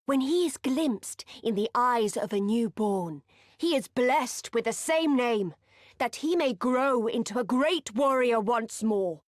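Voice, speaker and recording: A proud warrior leader of a steppe tribe in a video game. She is stern and solemn, with a slow, authoritative delivery and formal old-fashioned wording. The sound is close and dry. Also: on the high side, lively intonation, no echo. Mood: reverent. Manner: solemn, authoritative, archaic diction. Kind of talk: dramatic video game voice acting.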